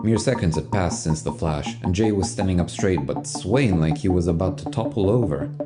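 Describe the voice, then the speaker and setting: A man's voice: sits low, normal speed. A man narrating an audio drama in a scripted storytelling style. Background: music.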